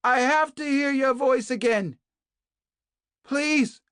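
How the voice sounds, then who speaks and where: A narrator voicing a desperate captive woman doctor who shouts frantically for help. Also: high-pitched, slow, clean tone, dry recording, studio quality, pitched above the performer's own voice. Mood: pleading.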